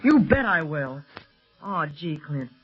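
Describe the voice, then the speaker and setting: A male performer acts an eager American teenage boy adventurer from 1930s radio. The voice is high and boyish, with earnest, excitable delivery. The recording is dull and band-limited. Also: takes it slowly, breathy edge, faint music behind, exaggerated voice. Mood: eager, pleading. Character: eager, plucky fifteen-year-old American boy adventurer.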